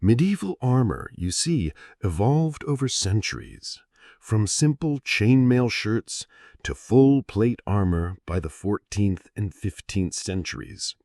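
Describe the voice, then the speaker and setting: A man narrates a history tale meant for falling asleep, taking his time over each phrase. His delivery is gentle and theatrical, with widely varying intonation and deliberate pauses. The recording is close and dry, free of room sound. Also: fairly low pitch, big pitch swings, no room sound. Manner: gentle, soothing, storyteller's dramatic pauses and rhetorical questions. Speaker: narrator of sleep history stories. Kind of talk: scripted bedtime history narration.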